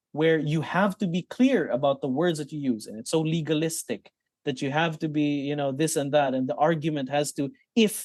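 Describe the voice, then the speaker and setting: A man's voice: on the high side, darkish tone, dry recording, studio quality. A Filipino man with a Filipino accent, a psychologist answering interview questions in an explanatory way. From Philippines. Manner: explanatory, mixes in Tagalog terms, many restarts. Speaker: psychologist guest.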